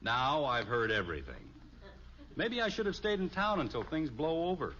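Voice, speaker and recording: Wry radio sitcom dad, muffled recording. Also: male voice, a little high, wildly swooping pitch, moderate pace, sharp tone, exaggerated voice. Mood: mock bewildered. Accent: American. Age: middle-aged. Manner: dry, teasing sarcasm. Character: wry, amused middle-aged father of a family. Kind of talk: 1950s radio sitcom acting. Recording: muffled old radio recording, noisy audience.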